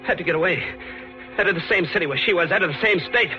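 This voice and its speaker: A man's voice: high voice, fast talker, close to an everyday voice. An actor playing a tough, desperate, wounded man on the run in 1940s radio noir, tense and slangy. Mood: rising panic. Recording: old dull radio recording, music behind.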